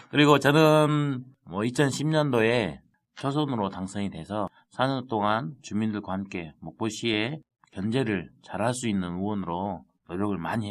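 Low-voiced man with a Jeolla accent. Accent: Jeolla dialect. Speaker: city council member, guest. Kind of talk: casual podcast conversation.

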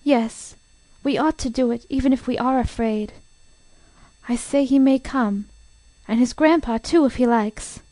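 A woman voicing a timid, sweet young girl in a storybook, mustering courage with gentle spirit. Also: fairly high pitch, a little slow, no room sound, voice pushed up, lightly acted. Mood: brave, spirited. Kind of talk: storybook character reading.

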